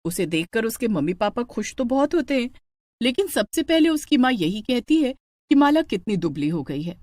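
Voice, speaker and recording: A woman's voice: fairly high pitch, sing-song, moderate pace, a little mellow, no echo. A woman narrating a scripted family story in a dramatic storytelling style.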